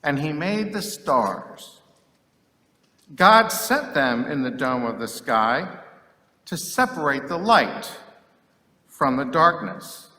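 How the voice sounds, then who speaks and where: A man's fairly high, bright, forceful voice rings out through a hall with long reverb. He reads scripture in a solemn, dramatic style, declaiming each phrase deliberately and leaving long pauses between them. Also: sing-song. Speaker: scripture reader.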